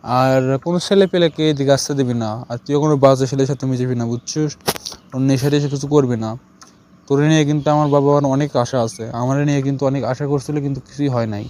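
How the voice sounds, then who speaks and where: A man's voice: mid-range voice, steady tone, fast talker, mellow, close to an everyday voice. A man voicing a responsible, protective elder brother and husband in an amateur cartoon, with a Bangladeshi accent. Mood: advising.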